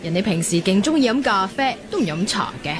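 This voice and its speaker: A lively young female student, played by a woman in a radio drama, speaking at a middle pitch over clear crowd chatter. She is expressive and playful, quick to defend herself, with a wistful streak. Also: lightly acted. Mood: curious, cheerful. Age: young adult. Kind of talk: Cantonese radio drama acting.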